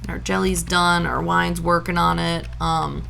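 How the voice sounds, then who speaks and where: A woman's voice: lowish voice, level tone, measured pace, gravelly, bright, forceful tone, a little hiss or hum. A woman from Brazil streaming a farming game, chatting casually and playfully, humming nonsense sound effects and tossing out jokey mock-old-fashioned lines. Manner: jokey mock-archaic asides.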